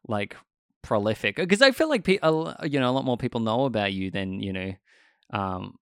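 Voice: medium pitch, animated, moderate pace, fairly bright tone, clean tone, dry recording, clean studio sound. An interviewer asking long, rambling questions padded with fillers. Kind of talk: casual interview chat.

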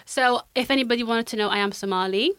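A woman's voice: on the high side, quick pace, a bit bright, clear, resonant tone, no room sound, studio quality. A woman, a podcast guest, talking in a bubbly, jokey way and chiming in often. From Wales. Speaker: podcast guest, dance student. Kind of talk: casual chat.

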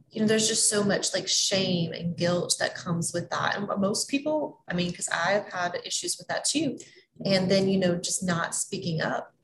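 A woman with a low, gravelly voice hosts a podcast, speaking in a gentle and reflective way. She stretches out her phrases as she thinks aloud. A steady hiss of noise sits under the recording. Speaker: podcast host. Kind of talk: warm conversational interview. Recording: noisy room recording.

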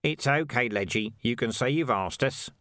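A man's voice: medium pitch, moderate pace, a bit bright, no room sound, studio quality, pitched above the performer's own voice, natural voice. A man voicing a scruffy, persistent, plain-spoken watchman in an audiobook. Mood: wry. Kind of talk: audiobook character voice.

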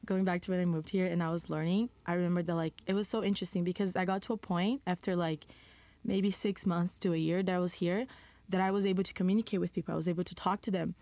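A young woman from Brazil, Portuguese her first language, talks slowly and reflectively with frequent "like" fillers. Her voice sits low for a woman. The recording is dry and close, with no room around it. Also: fairly even intonation, darkish tone, no room sound. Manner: reflective, halting, many "like" fillers. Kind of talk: podcast conversation.

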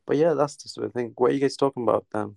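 A man from Newcastle, England, a guest caller chatting casually, talking haltingly with many restarts and fillers. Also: mid-range voice, vocal fry, a little mellow, dry recording. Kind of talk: casual chat.

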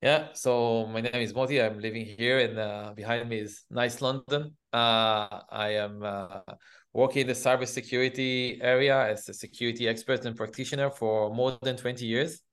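A man from Israel with an Israeli accent, explaining things as an interview guest in halting, hesitant phrases. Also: mid-range voice, steady tone, a bit bright, clean tone. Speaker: guest, cybersecurity company CEO.

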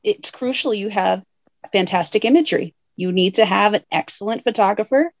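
A woman's voice: medium pitch, fairly quick, dry recording. A young woman, a haunted attraction owner, chatting in an interview; friendly, laughing easily, with plenty of fillers. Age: young adult. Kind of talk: casual interview chat.

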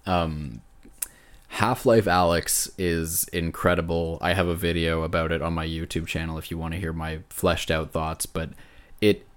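Deep-voiced man, earnest and emphatic. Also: flat delivery, moderate pace, clear, resonant tone. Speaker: podcast co-host. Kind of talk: casual video game discussion.

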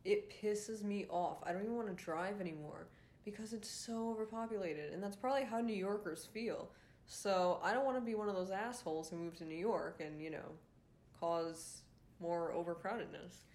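A woman's voice: medium pitch, moderate pace, a little mellow. A young woman from Rhode Island hosting her own podcast, ranting casually with a nasal, congested voice, full of fillers and swearing. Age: young adult. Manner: frustrated, swears often, many fillers. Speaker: podcast host.

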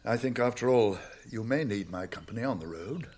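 An actor playing a stern, shrewd old wizard with a British accent and a gruff, husky voice in a radio drama. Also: male voice, medium pitch, expressive, darkish tone, natural voice. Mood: grave, urgent. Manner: authoritative, deliberate, brisk questioning.

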